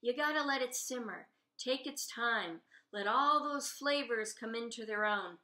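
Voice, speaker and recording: Female author, expressive storybook read-aloud with informal asides, bright tone, roomy echo. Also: on the high side, unhurried. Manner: openly fond of the characters. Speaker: author reading own novel. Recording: roomy, echoey room sound.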